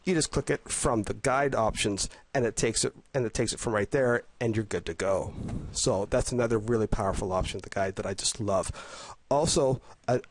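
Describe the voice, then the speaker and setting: Congested man narrating gameplay. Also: on the low side. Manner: enthusiastic, halting, with many restarts and fillers. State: congested with a cold, a bit nasal. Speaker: game guide reviewer. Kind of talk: casual gameplay voice-over commentary. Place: at home.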